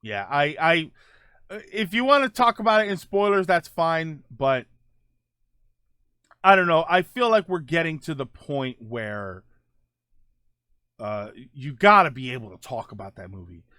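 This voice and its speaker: A man hosting a livestream, chatting casually with viewers in a halting way, often restarting his phrases. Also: a little high, expressive, pushed and bright, clear, resonant tone, no room sound, clean studio sound. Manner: halting, repeats phrase openings. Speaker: livestream host. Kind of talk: casual chat with live viewers, gaming and film talk.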